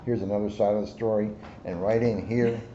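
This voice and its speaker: A man's voice: fairly low pitch, fairly even intonation, a little fast, dark tone. An older man, a police officer, making casual short asides during a read-aloud. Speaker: police officer, reading companion. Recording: muffled, noisy, off from mic.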